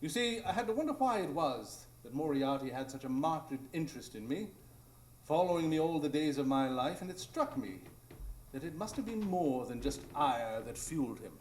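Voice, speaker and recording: A man playing a self-assured, cerebral detective in a live radio drama, explaining in a formal, measured way. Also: on the high side, lively intonation, a little mellow, a little hiss or hum, lightly acted. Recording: echoey stage, distant from microphone.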